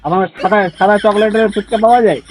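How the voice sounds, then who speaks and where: A man's voice: high voice, steady tone, fast, a little mellow. A man with a West Bengali accent making a casual prank phone call, teasing and persistently asking questions. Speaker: prank caller. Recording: phone call with faint noise.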